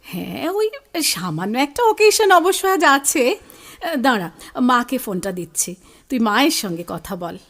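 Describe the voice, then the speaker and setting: An actress playing Moni, a brisk young woman who teasingly bosses around a younger cousin. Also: a little high, very animated, a bit bright, exaggerated voice. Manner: teasing, bossy. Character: brisk young woman Moni, caring older sister type.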